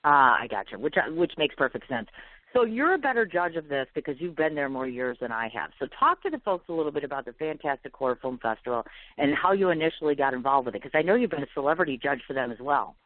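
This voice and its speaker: A woman's voice: deep voice, expressive, fast, dry recording. A woman hosting a radio interview, talking enthusiastically and addressing listeners as folks. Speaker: radio host. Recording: phone-like line.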